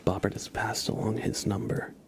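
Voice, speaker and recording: A man's voice: fairly low pitch, flat delivery, moderate pace, creaky, background noise. A man hosting a live ASMR stream, chatting to viewers with playful, murmured role-play patter. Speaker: livestream host.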